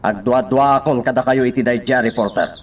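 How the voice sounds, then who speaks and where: A man's voice: mid-range voice, fairly quick, far from the mic, natural voice. A man acting a defensive, insistent office co-worker in a radio drama. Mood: confronting. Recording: off-mic, noisy with insect-like sounds.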